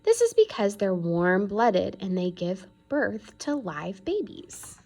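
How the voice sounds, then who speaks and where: Woman doing a read-aloud science lesson, mid pitch, bit of vocal fry, stresses the key words. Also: sing-song, slow pace, mellow. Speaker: reader reading a children's science book aloud. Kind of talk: read-aloud educational narration.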